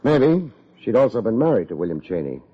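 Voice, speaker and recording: An American actor playing a wry, self-deprecating private investigator in an old-time radio drama, with a deadpan, wisecracking delivery. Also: male voice, on the low side, fairly quick, slightly rough. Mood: dry, probing. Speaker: lead actor, narrator. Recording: muffled old radio recording.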